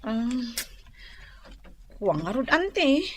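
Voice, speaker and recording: A woman's voice: a little high, big pitch swings, slow, fairly bright tone, exaggerated voice. A woman playing a suspicious young wife in a radio drama, speaking with an Ilocano Filipino accent. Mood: meek, confiding. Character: suspicious young wife, niece scheming with her aunt.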